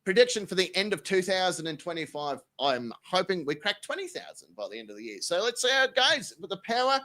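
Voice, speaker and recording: A man's voice: sits high, sing-song, brisk, pushed and bright. A man hosting a studio talk show, swearing freely and laughing often between showy announcer-style introductions. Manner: boisterous, profane, laughs often. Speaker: host. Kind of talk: crude comedic banter and showy introductions.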